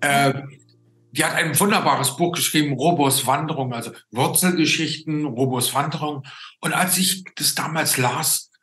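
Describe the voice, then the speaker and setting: A man's voice: a little high, normal speed, fairly bright tone. A man in his sixties hosting a casual video-call interview, searching for his words as he goes. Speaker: host. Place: room indoors, on a video call. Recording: roomy, echoing video-call sound.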